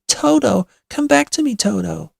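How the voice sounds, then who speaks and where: Anxious young girl for a Halloween tale, woman performer, high raspy pleading voice, fretful and stubborn. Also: unhurried, a little mellow, no echo, studio quality. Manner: pleading, worried. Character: anxious young girl Dorothy fretting over her lost dog.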